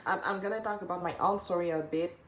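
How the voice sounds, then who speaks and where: A woman's voice: fairly low pitch, fairly even intonation, quick pace. A woman from there, giving an earnest, informal live talk as a personal stylist, rephrasing and repeating for emphasis. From Cameroon. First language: French. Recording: echoey room with background noise.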